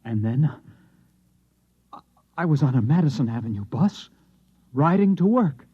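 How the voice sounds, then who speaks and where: An actor playing a distressed, haunted man who claims to be the last Martian, with an American accent and halting, anguished delivery in a 1950s radio drama style. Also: on the high side, sing-song, breathy edge, heavily acted. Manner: halting, anguished, hesitant and repeating himself. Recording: dull, muffled vintage radio recording.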